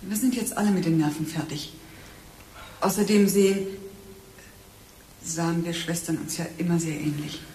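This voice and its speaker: An actress playing a worn-out, guarded grieving woman in a radio drama, speaking haltingly. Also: fairly low pitch, fairly even intonation, dark tone, natural voice. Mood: weary, guarded. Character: worn-out, guarded grown sister in mourning. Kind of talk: German radio drama acting. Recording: echoey, distant, faint noise.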